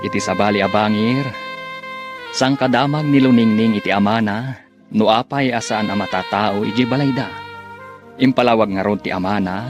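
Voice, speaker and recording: A man narrates a radio drama serial as its announcer. His mid-pitched delivery is formal, scripted and measured, with music playing clearly behind him.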